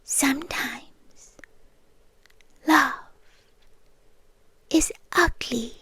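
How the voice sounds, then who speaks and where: A woman with a British accent playing a possessive, ageless creature girlfriend in an intimate ASMR roleplay, breathy and often whispering, tender yet menacing. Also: sits high, sharp tone, no echo, heavily acted. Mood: dark, obsessive. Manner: slow, deliberate, coaxing with lingering pauses. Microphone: close, dry ASMR microphone.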